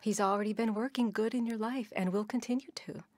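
A woman's voice: medium pitch, steady tone, brisk, a little mellow, dry recording, natural voice. An affectionate pastor's wife mentors in a mid-range female voice played by an actress. She is encouraging, in a dry radio drama recording.

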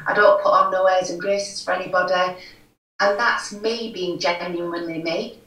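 A woman's voice: lowish voice, fairly even intonation, normal speed, bright, forceful tone. Frank woman with broad Yorkshire accent. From Yorkshire, England. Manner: frank, earnest, many "you know" fillers. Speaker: guest, recovery forum chair. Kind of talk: conversational interview. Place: home room. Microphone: video call. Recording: echoey room, far from mic.

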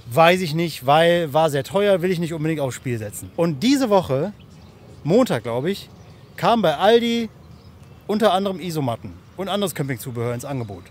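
A man's voice: on the high side, lively intonation, moderate pace. A man talking casually and jokingly in colloquial language as he records a camping vlog outdoors on his phone. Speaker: camping vlogger. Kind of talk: casual outdoor vlog talk to camera and companion. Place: outdoors at a riverside campsite. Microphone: handheld smartphone. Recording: faint outdoor noise on phone audio.

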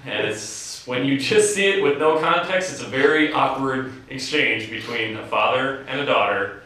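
A man's voice: mid-range voice, normal speed, sharp tone. An American man in his thirties chatting casually as an interviewee, with a joking, mock-boastful manner. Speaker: actor and writer being interviewed. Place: indoor theatre set. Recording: echoey room, distant mic, faint noise.

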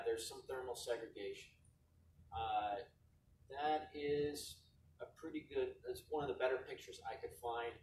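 A man's voice: mid-range voice, darkish tone. A man on an industry panel giving a casual, rambling slide presentation that keeps checking in with "right?". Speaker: equipment manufacturer panelist. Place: conference hall, heard from far off. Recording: echoey distant hall livestream, faint noise.

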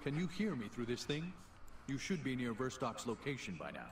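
An actor playing a stern night elf druid mentor in a fantasy video game, grave and formal, through an echoing magical effect. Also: male voice, medium pitch, huge pitch swings, a little fast, distant mic, soft background music. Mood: stern, instructing. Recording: echoey, noisy game audio behind. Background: game ambience.

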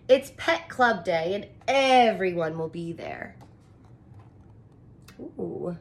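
Slow-paced woman reading to young children. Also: medium pitch. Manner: expressive, simple explanatory phrasing for children. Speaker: elementary teacher reading aloud. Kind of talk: picture book read-aloud with commentary for young children. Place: small furnished room indoors.

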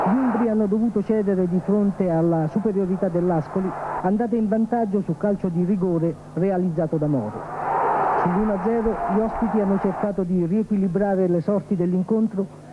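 A man reading a scripted football match report on an old television broadcast. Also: high voice. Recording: muffled, noisy archive TV broadcast.

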